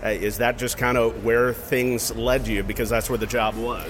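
A man's voice: mid-range voice, level tone, moderate pace, fairly bright tone. Enthusiastic male sports interviewer over music. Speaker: sports show host. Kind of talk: conversational interview.

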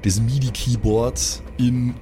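A man's voice: medium pitch, fairly even intonation, slow pace, mellow, faint music behind. A man improvising colloquial, dramatic narration as game master of a pen and paper audio play.

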